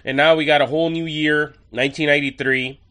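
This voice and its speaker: A male podcast host running through an episode in a chatty, enthusiastic way with wry asides. His voice is a bit higher than most men's and bright and forceful. He sounds a little stuffy and nasal from a cold. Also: fairly even intonation, fast. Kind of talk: casual episode review.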